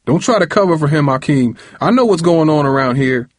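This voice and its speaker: Man acting out a booming, furious uncle. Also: animated, fairly quick, no echo, clean studio sound. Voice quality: loud, booming, bellowing. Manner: stern, colloquial, confrontational scolding. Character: furious, domineering middle-aged uncle scolding his son.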